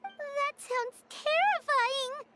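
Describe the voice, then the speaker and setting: Squeaky, tiny fairy companion. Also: female voice, drawn-out words, loud music behind the voice, full character voice. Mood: nervous. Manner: exclaiming, dramatic. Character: tiny excitable childlike fairy companion, easily frightened. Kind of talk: video game voice acting.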